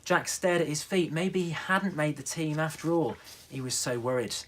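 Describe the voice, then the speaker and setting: A man's voice: a little high, moderate pace, a bit bright. A male radio newsreader narrating a children's bedtime story.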